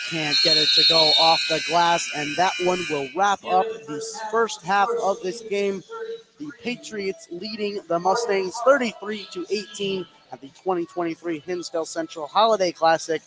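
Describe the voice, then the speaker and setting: A man's voice: high voice, normal speed. An American man calling live basketball play-by-play as a radio announcer. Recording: live gym broadcast, clearly noisy background. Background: noisy gymnasium ambience.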